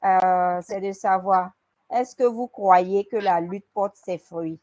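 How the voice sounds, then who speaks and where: A woman moderates an online webinar panel. She speaks over a video call in a polite, brisk manner as she puts the next question to her guests. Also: medium pitch, a little mellow, no room sound.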